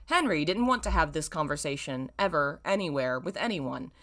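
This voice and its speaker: A woman reading a story aloud as host, in an expressive, theatrical way. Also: deep voice, moderate pace. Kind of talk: dramatic story reading with amused asides.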